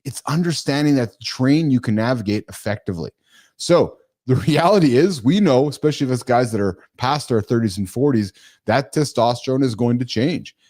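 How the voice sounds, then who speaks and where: A middle-aged man hosting a live morning talk show, speaking casually and with animation, often addressing his listeners as guys. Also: a little fast. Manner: animated, calls listeners guys, jumps in pitch.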